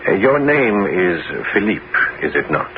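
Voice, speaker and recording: Theatrical, low-voiced former musketeer bishop. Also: male voice, very animated, background noise, full character voice. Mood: gentle, probing. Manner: theatrical, measured, gently questioning. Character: adventurous former musketeer turned bishop, courteous and probing. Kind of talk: 1970s radio drama acting. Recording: dull 1970s radio recording with music.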